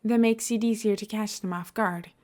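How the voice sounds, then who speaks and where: A woman's voice: medium pitch, fairly even intonation, moderate pace, darkish tone, slight background noise, lightly acted. A woman reading the part of a terse male executive who is hard to please, in an audio story. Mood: matter-of-fact.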